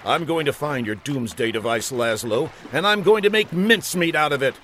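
A man's voice: fairly high pitch, expressive, moderate pace, background noise, close to an everyday voice. A man voicing a cocky, quibbling hero with a taunting manner in a comic audiobook. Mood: determined. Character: cocky, quibbling male hero hiding from villain.

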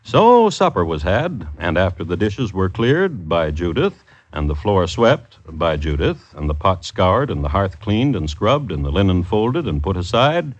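A man narrating a scripted old-time radio drama in a wry, measured way, on a dated recording. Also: sits low, sing-song, husky, no room sound. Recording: dull vintage radio recording with music.

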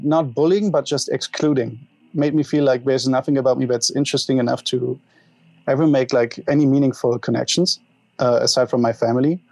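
A young man with a German accent speaks as an interview guest, open about himself and often pausing to find his words. His voice carries a gravelly fry. The sound is dry and close, with no room around it. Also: mid-range voice, darkish tone, dry recording, low-quality recording. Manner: open, halting, laughs at himself. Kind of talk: candid personal interview.

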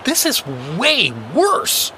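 A baffled everyman dad in an audio drama, high for a man and lively in pitch. He is hesitant and incredulous, with faint noise behind him. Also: extremely slow, full character voice. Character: bewildered, reluctant everyman dad in an arena.

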